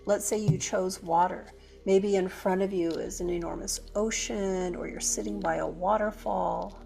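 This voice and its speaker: A woman leading a guided meditation as a yoga teacher, speaking gently and unhurriedly with pauses between phrases. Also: medium pitch, fairly even intonation, gravelly, faint music behind.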